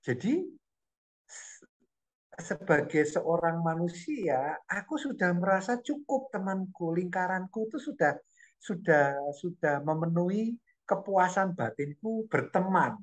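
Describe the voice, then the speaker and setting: A middle-aged man from Indonesia tells stories from his years abroad in a relaxed, animated chat. His voice sits a little high for a man. He often repeats a word or phrase while he hunts for the next thought. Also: unhurried. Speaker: guest recounting life abroad. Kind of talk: casual storytelling chat.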